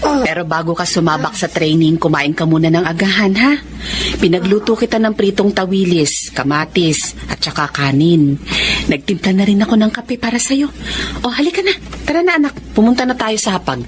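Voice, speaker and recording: A woman playing a caring, worried mother who gently coaxes, in a Filipino radio drama. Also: mid-range voice, animated, moderate pace, noisy background, lightly acted. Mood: caring, coaxing.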